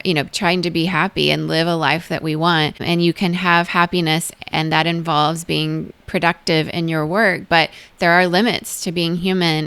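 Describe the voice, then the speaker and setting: A woman's voice: low-pitched, vocal fry, a bit bright, clean tone, no echo. A woman from Venezuela hosting a podcast, talking to her guest and listeners. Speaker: podcast host. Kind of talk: interview and listener address.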